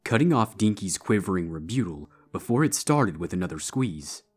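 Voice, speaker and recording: A man's voice: low voice, very animated, moderate pace, slightly rough. A man giving a scripted narration of a story as its narrator. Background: background music.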